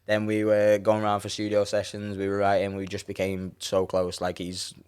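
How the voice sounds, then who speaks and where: A young man with a Scouse accent, a rapper and producer chatting casually as an interview guest, relaxed and quick to laugh. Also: fairly low pitch, clean tone. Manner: relaxed, laughs often, trails off into fillers.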